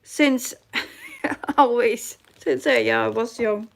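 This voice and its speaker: A woman's voice: fairly high pitch, slow, vocal fry. A middle-aged woman with a Dutch accent hosting a casual live chat, reading comments aloud and searching for her English words. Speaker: cross-stitch live stream host.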